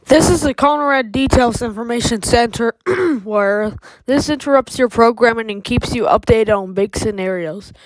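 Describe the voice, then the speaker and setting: A woman's voice: a little high, moderate pace, no echo, rough recording. A woman reading scripted emergency broadcast announcements in a formal, official manner.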